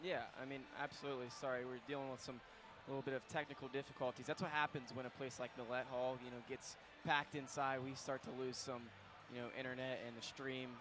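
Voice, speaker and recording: A young man hosting a college basketball pregame show on student radio, courtside in a packed arena, talking excitedly and rambling with fillers. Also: mid-range voice, fast. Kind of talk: live basketball pregame talk. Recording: faint arena noise behind.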